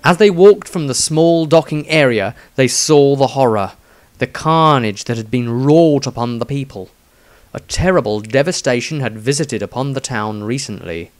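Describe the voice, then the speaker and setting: A male narrator tells a scripted fantasy story. His voice sits at an average pitch for a man. The delivery is solemn and theatrical, that of an audiobook storyteller. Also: moderate pace, soft background music. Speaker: author narrating own story.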